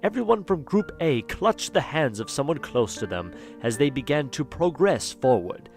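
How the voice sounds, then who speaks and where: A man's voice: medium pitch, very animated, normal speed, creaky, soft background music. A man playing a wry, mocking, lonely spirit who narrates an audio drama in a theatrical manner. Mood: storytelling.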